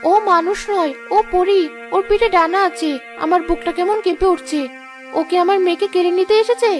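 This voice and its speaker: A woman's voice: very high voice, brisk, over music. A woman voicing an anxious, protective village mother in a cartoon fairy tale, emotional and fretful. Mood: fearful, protective.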